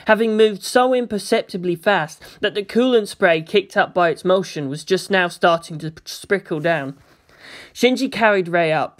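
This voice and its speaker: A narrator reading a story aloud in a dramatic, scripted style. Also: mid-range voice. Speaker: audiobook narrator.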